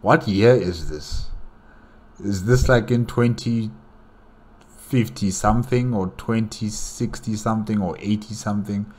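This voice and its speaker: A man with a fairly low voice gives casual reaction commentary. He muses out loud and puts questions to himself as he goes. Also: a little slow. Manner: musing, questioning aloud. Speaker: reaction video host.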